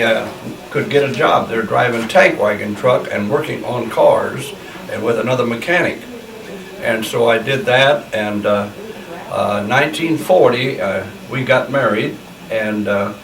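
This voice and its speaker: An elderly man, recounting his working life in a plainspoken, rambling way with many pauses and fillers. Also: mid-range voice, creaky, a crowd in the background. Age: 80s. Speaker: interviewee. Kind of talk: oral history interview. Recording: distant mic in a roomy space with background noise.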